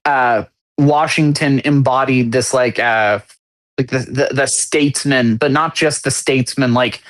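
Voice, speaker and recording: A man's voice: mid-range voice, steady tone, fairly bright tone. A man co-hosting a casual podcast conversation, working out his argument aloud with many restarts. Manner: halting, searching for words with many restarts. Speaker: podcast co-host.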